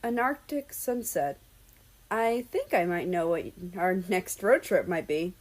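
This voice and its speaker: A woman voicing a playful, excitable young man on a road trip in a story reading, casual and a little bashful. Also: fairly low pitch, measured pace. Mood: happy, wistful.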